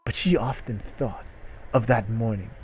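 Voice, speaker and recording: A man's voice: on the low side, normal speed, darkish tone, slight background noise, low-quality recording. A man hosting a comic dramatic reading of a short story, with mock-serious narration that can break into incredulous outbursts. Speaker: host reading stories.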